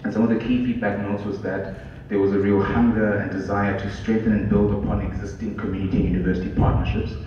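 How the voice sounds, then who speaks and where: A man in his thirties with a South African English accent, a program manager giving a loud, friendly conference talk with a handheld mic. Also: fairly low pitch, little pitch movement, quick pace, distant mic, a little hiss or hum. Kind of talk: conference presentation. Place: large hall with curtains. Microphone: handheld microphone. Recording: echoey hall with long reverb, dull.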